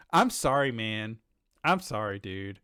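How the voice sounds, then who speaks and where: A man's voice: on the low side, sing-song, slow pace, bright, forceful tone, clean tone, dry recording, clean studio sound. A man co-hosting a podcast, chatting casually and bluntly in an informal way. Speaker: podcast co-host. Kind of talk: casual chat about games and shows.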